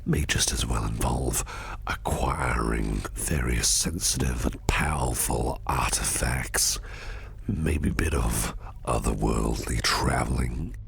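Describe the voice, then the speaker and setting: A man playing a shady businessman boss in an ASMR roleplay, flirtatious and protective, murmuring in a whispery gravelly voice with slow, teasing deliberation. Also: very deep voice, exaggerated voice. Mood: sly, enticing. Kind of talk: ASMR roleplay, close personal attention.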